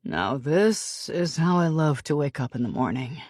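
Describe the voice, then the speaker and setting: A commanding, possessive man, as a woman reader puts him on speaks in a low, unhurried drawl that gloats at every word. The sound is close and dry, with no room around it. This is an audiobook character voice. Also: expressive, darkish tone, dry recording. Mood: teasing. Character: commanding, possessive grown man, teasing lover.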